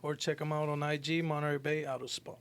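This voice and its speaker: A man's voice: on the high side, flat delivery, fast talker, a bit bright, smooth. A man co-hosting a podcast, talking in casual slang, as in chat or sponsor plugs. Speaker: podcast co-host.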